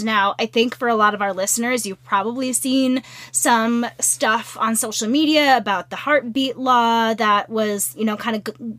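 A woman co-hosting a podcast in casual chat, slightly hoarse and congested, rambling and swearing casually. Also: on the high side, bright, forceful tone, no echo. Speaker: podcast co-host. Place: small closet.

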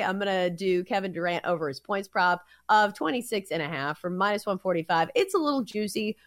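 A woman with a Southern accent hosting a sports betting podcast, breaking down picks in a steady, analytical way with frequent filler sounds. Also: medium pitch, no echo, clean studio sound. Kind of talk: casual sports betting chat.

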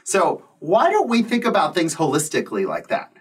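A man's voice: a little high, huge pitch swings, moderate pace, husky, distant mic. A man hosting an interview, raising points thoughtfully and asking questions. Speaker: interview host. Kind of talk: interview conversation.

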